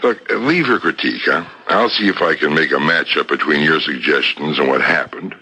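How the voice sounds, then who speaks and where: An actor in a 1970s radio drama playing a pragmatic, skeptical police captain with a brusque, matter-of-fact conversational manner. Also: male voice, rumbling low, moderate pace, sharp tone, breathy edge, full character voice. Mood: reluctant concern. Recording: noisy old radio broadcast recording.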